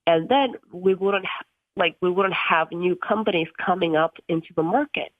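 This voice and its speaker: A woman's voice: lowish voice, moderate pace, no echo. A woman in her twenties from Russia, a radio guest explaining policy earnestly with many ums and uhs. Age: 20s. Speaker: guest from a tech policy group. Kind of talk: radio interview on a phone line. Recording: tinny phone line, dry.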